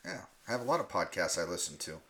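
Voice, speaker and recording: A man's voice: deep voice, big pitch swings, quick pace, a crowd in the background. A man hosting a casual podcast, rambling with lots of fillers and easy laughs. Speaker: podcast host.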